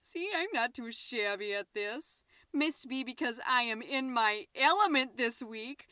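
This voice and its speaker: A woman's voice: on the high side, lively intonation, a little slow, sharp tone, dry recording, clean studio sound. A woman playing a chatty, scatterbrained, overexcited middle-aged suburban mom who hosts a comedy podcast, rambling, interrupting herself and stressing words theatrically. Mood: chirpy, self-satisfied. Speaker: podcast host. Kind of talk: comedic character monologue as a homemade podcast.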